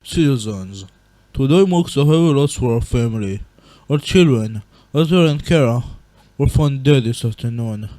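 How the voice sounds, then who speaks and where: A man playing a grieving, regretful monster king and gentle father in a dramatic comic dub, speaking in a solemn, earnest way. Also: mid-range voice, lively intonation, moderate pace, no echo, lightly acted. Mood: grave, mournful.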